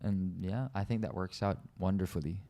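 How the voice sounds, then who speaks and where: A young man from the Philippines chats on a couple's podcast in a low, mellow voice. He keeps things loose and teasing and wanders through his thoughts. The sound is relaxed and informal. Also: normal speed. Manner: easygoing, joking, talks in loose run-on thoughts. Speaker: podcast co-host. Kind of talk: casual couple's podcast chat.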